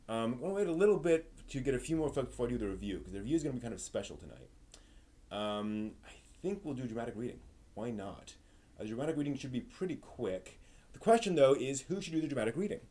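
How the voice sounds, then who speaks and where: Male streamer, medium pitch, casual and off-the-cuff, halting as he thinks aloud. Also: a little mellow. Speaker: live stream host. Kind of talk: casual, improvised live stream chat.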